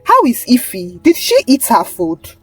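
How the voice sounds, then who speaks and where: A woman's voice: a little high, huge pitch swings, measured pace, husky, no room sound, soft background music, heavily acted. A woman voicing a stern, exasperated auntie in a folktale, scolding her greedy niece. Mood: suspicious. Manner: scolding, suspicious, interjections like "oh" and "sha".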